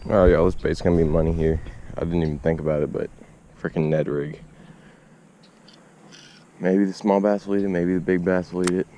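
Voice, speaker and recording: Young fishing vlogger, deep-voiced, excitable; self-filmed outdoors, faint background noise. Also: male voice, a little fast, pauses often. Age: young adult. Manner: excitable, slangy, addresses viewers as y'all. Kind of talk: casual self-filmed narration. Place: outdoors beside a suburban pond. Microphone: action camera on chest and at arm's length.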